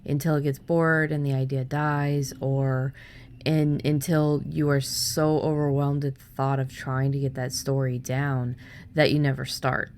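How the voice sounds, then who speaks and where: A woman hosting a podcast in loose, casual conversation. Her voice sits very low for a woman, and she talks bluntly, cursing freely and cracking jokes. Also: little pitch movement, a little slow, darkish tone, slight background noise. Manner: blunt, swears freely, jokey. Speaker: podcast host.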